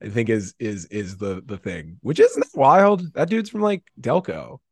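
Male podcast co-host, very lively intonation, exclamatory and sweary, casual chat, close dry sound. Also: mid-range voice, normal speed, dry recording.

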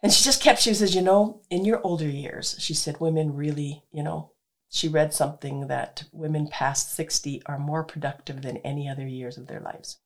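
A woman's voice: sits low, moderate pace. A woman hosting a radio show, chatting enthusiastically with her guest and laughing easily. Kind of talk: casual interview chat.

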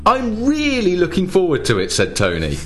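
A man's voice: sits high, wildly swooping pitch, normal speed, faint music behind. A man hosting a casual comedy radio show, presenting in a playful, mock-grand way. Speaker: radio host.

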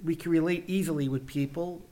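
A man's voice: on the high side, steady tone, brisk, dark tone. A man with a Brooklyn New York accent, co-hosting a casual podcast chat, talking earnestly and often repeating words as he finds his point. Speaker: podcast co-host.

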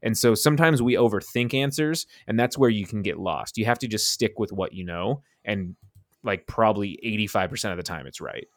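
A man's voice: lowish voice, lively intonation, a little fast, no echo, studio quality. A man in casual podcast chat, rambling and thinking aloud with a self-deprecating tone.

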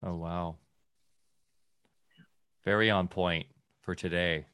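A man's voice: low voice, steady tone, slow pace, pushed and bright, smooth, no room sound, studio quality. A man hosting a casual interview who talks in an enthusiastic, rambling way. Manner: rambling and enthusiastic, with many restarts. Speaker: podcast host.

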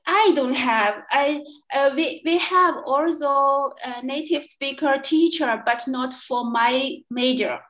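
Hesitant Chinese-accented woman, high voice. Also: slow, few pauses, fairly bright tone, low-quality recording. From China. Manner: hesitant, many fillers and restarts, laughs often. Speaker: guest, language student. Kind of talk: casual interview chat.

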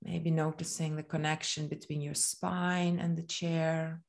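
A woman leading a guided body scan meditation in a soothing, gentle manner, leaving long pauses between phrases. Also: sits low, little pitch movement, gravelly.

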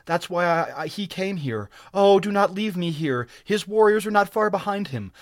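Male reader as frightened female captive: raised pitch, urgent, wailing, pleading, fantasy audiobook. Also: animated, normal speed, no echo. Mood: terrified, pleading. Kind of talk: dramatic audiobook character reading.

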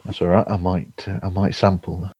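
A man chatting casually as a podcast host, halting and rambling, often chuckling. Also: deep voice, level tone, mellow, breathy edge. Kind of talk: casual remote chat. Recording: dull, roomy remote call audio.

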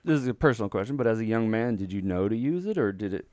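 A man's voice: on the low side, animated, fast talker, a little mellow, no echo. A man from Texas with a Texan accent, co-hosting a podcast in casual, joking conversation. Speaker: podcast co-host.

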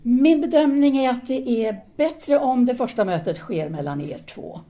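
A woman's voice: on the high side, expressive, warm tone. A woman playing a composed, businesslike teacher and writer in an audio drama, speaking formally and decisively.

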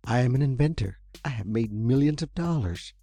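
A man's voice: mid-range voice, animated, moderate pace, warm tone, soft background music. A man voicing an eccentric, earnest older male inventor, speaking in a raised, pleading and then eager voice.

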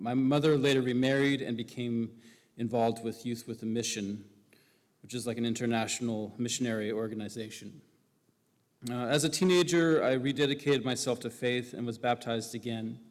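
A man from Hawaii giving an earnest, measured faith testimony in church, with occasional fillers. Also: medium pitch. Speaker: new church member candidate. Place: church sanctuary. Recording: long reverb of a large hall.

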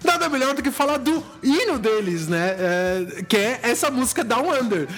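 A man's voice: very high voice, animated, moderate pace, a bit bright. A man hosting a casual music podcast, playful and teasing with his co-host.

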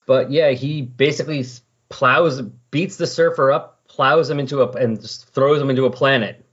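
A man's voice: medium pitch, steady tone, normal speed, husky. A man hosting a podcast, talking through comic books in a casual, explanatory, conversational way. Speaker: podcast host. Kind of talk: casual comic book discussion. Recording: dull lo-fi voice recording.

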